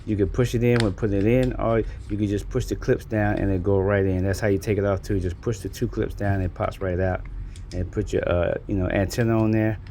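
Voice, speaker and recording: A man's voice: on the low side, level tone, brisk, dark tone, slight background noise. A man giving a casual, folksy product walkthrough as a reviewer in an unboxing video.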